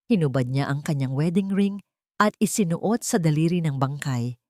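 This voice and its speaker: Low-voiced Filipino woman narrating, dry sound. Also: expressive, normal speed, darkish tone, no echo. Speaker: audiobook narrator. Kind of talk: scripted dramatic story narration.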